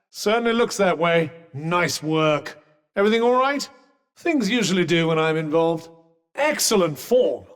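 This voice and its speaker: A sardonic enchanted cuff for a video game: middle-aged, refined British male, fairly high voice, dry and pompous, drenched in long reverb. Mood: dry sarcasm, then approving. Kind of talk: game companion combat barks.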